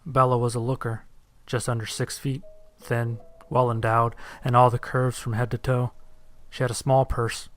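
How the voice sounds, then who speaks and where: Man narrating horror, very even pitch. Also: normal speed, soft background music. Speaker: story narrator. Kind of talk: first-person scripted horror narration.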